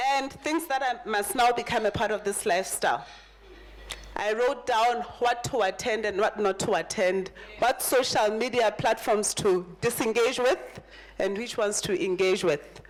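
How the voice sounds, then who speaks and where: A woman's voice: mid-range voice, low-quality recording. A woman in her thirties giving a motivational keynote talk, telling her own story and repeating phrases for emphasis. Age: 30s. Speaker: keynote speaker at a summit.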